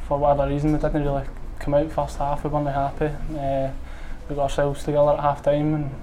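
A young Scottish man speaks quietly and modestly after a match, pausing often with fillers. His voice sits a little high but stays flat and mellow. A faint background hum runs under the indoor recording. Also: poor audio. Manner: soft-spoken, modest, hesitant with fillers. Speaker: footballer. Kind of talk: post-match interview. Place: indoors in front of a club sponsor board.

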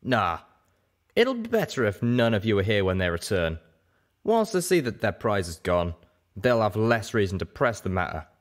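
A man voicing a big, protective male dragon with a deliberate, pointed manner in a story reading. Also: on the low side, very animated. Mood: reassuring.

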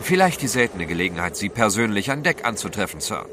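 An actor playing a composed, inquisitive gentleman adventurer, polite but direct, in a radio drama. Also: fairly low pitch, very animated, fast talker, noisy background. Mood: probing, polite.

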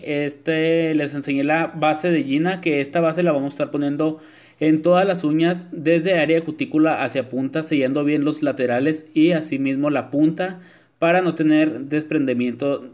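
A man's voice: on the high side, darkish tone. A man narrating a nail tutorial in a chatty voice-over, with frequent filler words. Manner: chatty, many filler "este", addresses viewers as "chicas". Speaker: nail technician.

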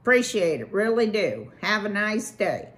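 A woman reading a storybook, voicing a brash, fast-talking male beaver boss with slangy salesman patter. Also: medium pitch, very animated, a bit bright, pitched above the performer's own voice.

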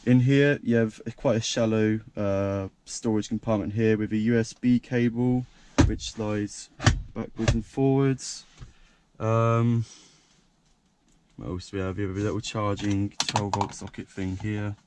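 A young man with a croaky voice, talking casually and rambling with lots of fillers while he films himself showing off his car. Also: fairly low pitch, fairly even intonation, measured pace, heavy background noise. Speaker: car vlogger. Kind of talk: casual point-of-view car walkaround. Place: parked car by a country lane, outdoors and inside the car. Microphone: action camera held by hand.

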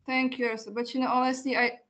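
A woman hosts an interview over a video call, her voice sitting a little high. She speaks earnestly, pausing and restarting softly as she gathers her words. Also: little pitch movement. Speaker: host.

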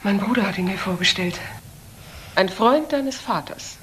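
A woman's voice: medium pitch, normal speed, a bit bright, natural voice. An actress playing a stern, well-to-do woman doctor in a crime radio play, speaking in a precise, grave, commanding way. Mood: grave, accusing. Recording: noticeable background noise, old broadcast audio.

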